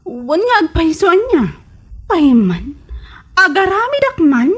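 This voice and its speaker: A woman acting as a suspicious, naive partner in an Ilocano comedy drama. Her voice is very high, plaintive and questioning. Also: big pitch swings, slow, fairly bright tone, full character voice. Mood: hesitant.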